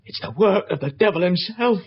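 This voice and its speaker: An actor playing a haunted, superstitious country trapper in a dramatic radio play. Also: male voice, high voice, lively intonation, a little fast, husky, heavily acted. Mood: terrified. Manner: dramatic rustic storytelling. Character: haunted, superstitious rural English trapper, fearful husband. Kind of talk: 1970s radio drama acting. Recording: dull, hissy old radio recording.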